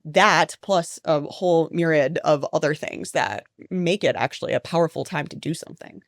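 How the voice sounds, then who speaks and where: A woman with a low voice speaks as a researcher on a podcast. She explains things in a chatty, conversational way and uses lots of fillers. The sound is dry and close. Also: normal speed, dry recording. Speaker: mindset researcher, podcast guest.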